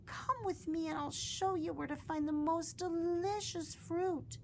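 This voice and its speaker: A woman voicing a loving, protective mother bat in a gentle, soft-spoken way. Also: very high voice, a little slow, slight background noise, voice pushed up. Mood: tender.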